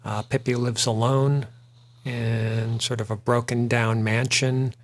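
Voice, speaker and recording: A man hosts a drawing show, talking while he sketches. His pitch sits in the middle, and he speaks slowly in a relaxed, rambling way, filling pauses with "uh". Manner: relaxed and rambling, frequent "uh" fillers. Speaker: artist and host.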